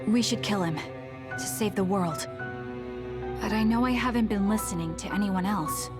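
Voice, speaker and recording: A woman's voice: medium pitch, moderate pace, natural voice. A young woman playing a determined, guarded squad leader in an anime-style game dub, speaking firmly and tersely. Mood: cold resolve. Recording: loud music behind.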